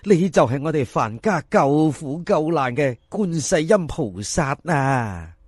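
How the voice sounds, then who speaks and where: A man's voice: on the high side, very animated, slow, slightly rough, no room sound, studio quality, full character voice. A man voicing a talkative, fatalistic old village mother in Cantonese, coaxing and persuading with folk sayings in a dramatised folk story. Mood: delighted.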